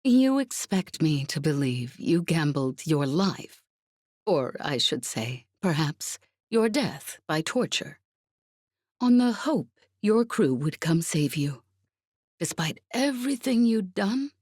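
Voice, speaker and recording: A woman voicing a perceptive, ironic female villain in an audiobook, speaking deliberately with cool curiosity and menace. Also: deep voice, sing-song, a little mellow, no room sound, studio quality, full character voice. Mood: ironic, curious. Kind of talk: audiobook character acting.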